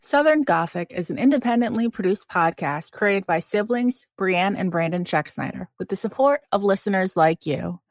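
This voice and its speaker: A woman with a medium-pitched voice reads a scripted credits announcement. The sound is dry, without room echo. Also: animated, normal speed, hardly any pauses.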